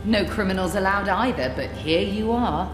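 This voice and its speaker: An actress playing a proud young adventuress in a fantasy game, sardonic, bitter and secretive. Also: female voice, mid-range voice, normal speed, close to an everyday voice. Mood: scornful. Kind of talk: fantasy game cutscene acting. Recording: echoey hall with music underneath.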